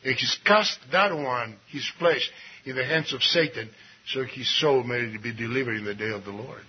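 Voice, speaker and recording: A man preaching a sermon, swinging between low asides and raised exclamations and reading scripture slowly and deliberately. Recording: dull lo-fi recording with faint noise.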